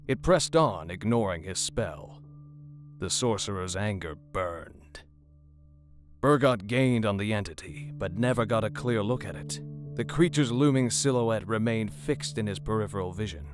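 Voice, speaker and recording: A man narrating a dark horror story in a dramatic, scripted storytelling style. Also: fairly low pitch. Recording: music bed under the narration.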